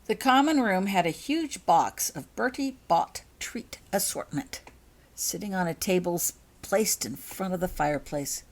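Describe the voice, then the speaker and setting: A woman with a fairly low voice narrates a story. She is an author reading scripted prose in audiobook style. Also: expressive, unhurried.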